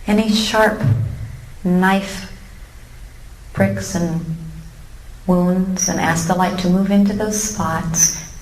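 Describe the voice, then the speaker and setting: Female meditation guide, very slow pace, calm and gentle, long pauses, roomy live recording. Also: lowish voice, a little hiss or hum. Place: room with a seated live audience.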